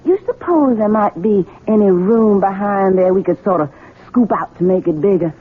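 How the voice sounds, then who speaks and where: Woman playing headstrong bride, vain, theatrical, husky, old tinny radio mystery sound. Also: mid-range voice, normal speed, close to an everyday voice. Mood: imperious, curious. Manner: theatrical, haughty. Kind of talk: 1970s radio mystery acting.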